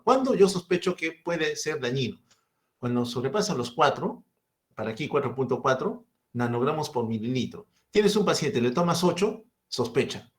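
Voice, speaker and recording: A man in his fifties with a Peruvian Spanish accent, giving a medical lecture and asking and answering his own questions. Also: mid-range voice. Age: 50s.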